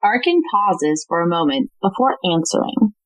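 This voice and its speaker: A woman's voice: lowish voice, lively intonation, moderate pace, dry recording, rough recording. A woman giving scripted narration of stage directions as the narrator of an audio play.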